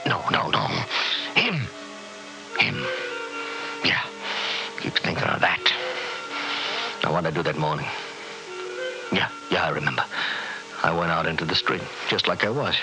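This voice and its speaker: Frantic, haunted low-voiced American schemer. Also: male voice, big pitch swings, unhurried, pauses often, over music, full character voice, rough recording. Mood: haunted, obsessive. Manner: obsessive, repetitive, agitated muttering and confessing. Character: frantic, guilt-ridden newlywed schemer, hard-boiled American man. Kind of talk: old radio horror drama acting.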